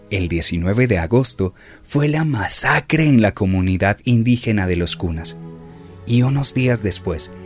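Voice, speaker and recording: Solemn male radio drama narrator. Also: lowish voice. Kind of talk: scripted documentary-style radio drama narration.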